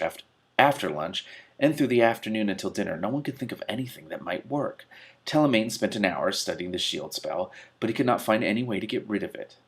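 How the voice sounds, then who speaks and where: A man reading a story aloud expressively, with a gravelly, slightly husky voice. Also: lowish voice. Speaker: reader of an online read-aloud series. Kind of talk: expressive audiobook-style reading with commentary.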